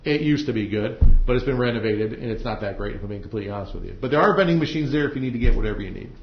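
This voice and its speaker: A male judge talks briskly through procedure in a colloquial, plain-spoken way. He explains step by step to jurors and attorneys. The sound is roomy and somewhat dull, as from a courtroom recording. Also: medium pitch, expressive, slight background noise. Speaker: judge presiding over a trial. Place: indoor courtroom.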